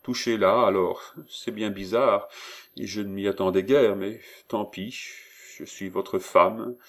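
A man voicing a spirited young woman in an audiobook, playful, frank and lively. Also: lowish voice, a little slow. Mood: resigned.

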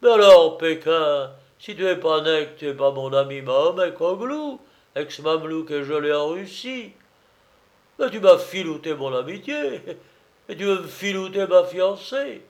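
A man voicing a blustering old Napoleonic drum-major in a storybook reading, booming and bombastic in colloquial soldier's talk. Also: lively intonation, slow pace, darkish tone. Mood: furious.

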